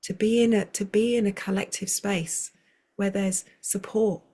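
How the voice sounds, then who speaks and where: A woman speaks as a guest over a video call, her pitch sitting in the middle range. Her talk flows on and rambles, with frequent restarts. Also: steady tone, a little slow, dark tone, husky. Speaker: circle facilitator, guest. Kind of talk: conversational interview.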